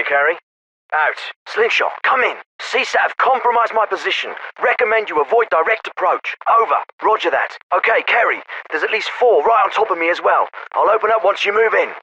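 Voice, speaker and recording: A man playing a brisk, stern special forces captain in a video game, giving clipped, authoritative orders with dry sarcasm, sometimes through a radio filter. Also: a little high, fairly bright tone, lo-fi sound. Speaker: military squad commander. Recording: radio-filtered, band-limited clips with faint static.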